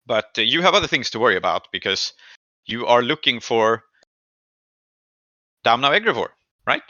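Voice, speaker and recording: A man with a very bright, forceful voice leads a tabletop roleplaying game as its game master. He explains the scene deliberately, pausing to find his words. The sound is very dry and slightly dull. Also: mid-range voice, sing-song, dry recording. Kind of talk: improvised narration of a tabletop game session.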